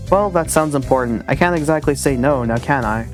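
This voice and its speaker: A dashing, secretive pigeon schoolboy from a dating-sim game, as a man reads him aloud. He speaks in a theatrical, gallant way, dropping French phrases, at a middling pitch. Faint music sits behind him. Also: fairly quick, lightly acted. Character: suave male pigeon student, mysterious, dramatic. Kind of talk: visual novel read aloud.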